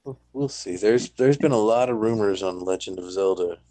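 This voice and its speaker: A man's voice: on the low side, moderate pace, dark tone, dry recording. A man chatting casually as a podcast panelist, with a blunt, dry way of talking. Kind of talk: casual discussion.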